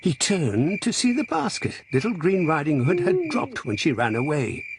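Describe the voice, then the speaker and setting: A man reading a scripted children's story as an expressive, dramatic narrator. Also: on the high side, normal speed, noisy background.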